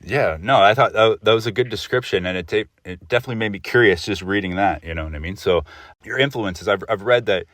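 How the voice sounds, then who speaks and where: A man's voice: lowish voice, fast, fairly bright tone, no echo, studio quality. A man hosting a casual interview, asking loose, meandering questions in a conversational way.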